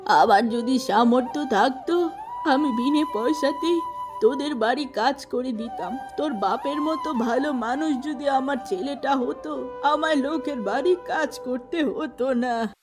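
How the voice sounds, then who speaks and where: A woman's voice: high voice, a little slow. Lady doing a kindly put-upon old granny servant for a cartoon, bit of music behind. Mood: sorrowful.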